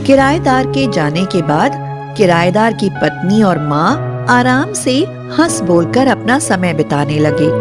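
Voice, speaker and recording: A woman narrating a scripted horror story as a storyteller. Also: mid-range voice. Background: music.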